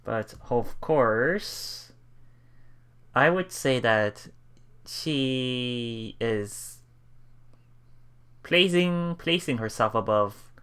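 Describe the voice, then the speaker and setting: A man reading visual novel text aloud as a let's play host, with casual commentary and a halting delivery that repeats phrases. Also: medium pitch, a bit bright, smooth. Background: game music.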